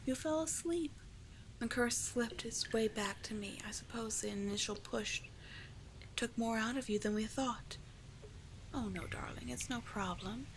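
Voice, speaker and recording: Seductive sea witch played by a woman, ASMR roleplay. Breathy, hypnotic, unhurried, mid-pitched, over clearly noisy ambience. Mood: tender, teasing. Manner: breathy, whispery, coaxing, lingering on words. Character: seductive, doting, manipulative female sea witch of the deep caves. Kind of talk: ASMR roleplay, slow hypnotic sleep-aid delivery.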